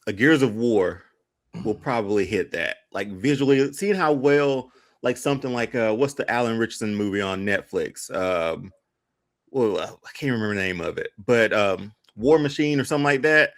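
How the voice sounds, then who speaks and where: A man's voice: lowish voice, expressive, moderate pace, dry recording. Casual man on a dry-sounding podcast. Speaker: podcast panelist.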